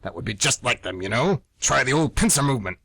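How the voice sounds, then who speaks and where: Male actor as paranoid history teacher for radio comedy, barking like a drill-obsessed soldier, very animated delivery. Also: medium pitch, fast, creaky, dry recording. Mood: paranoid, urgent. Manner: clipped, militaristic, condescending. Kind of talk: amateur radio comedy acting.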